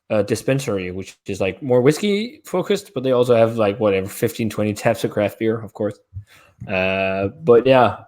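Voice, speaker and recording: A man's voice: medium pitch, a little mellow. Chatty Danish man talking beer. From Denmark. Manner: chatty, explains at length with fillers. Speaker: beer channel host. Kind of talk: casual live-stream chat.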